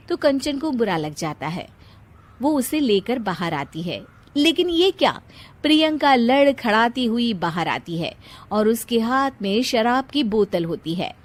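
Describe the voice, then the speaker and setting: Woman storyteller, slightly high voice. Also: very animated, unhurried. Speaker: narrator. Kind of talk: scripted moral-story narration.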